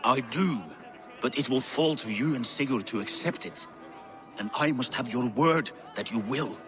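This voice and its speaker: A man's voice: mid-range voice, moderate pace, over music, natural voice. An actor playing a grim, doubting old Viking king with a stern, weighty manner in a video game cutscene. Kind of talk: dramatic game cutscene dialogue.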